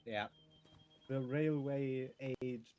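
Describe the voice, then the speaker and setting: A man's voice: mid-range voice, steady tone, extremely slow, darkish tone, a little hiss or hum. A man with a strong German accent that has a slight Swedish tinge, chatting casually and making dry, musing remarks while playing a game with a friend. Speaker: co-player on a game stream. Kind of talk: casual gaming chat.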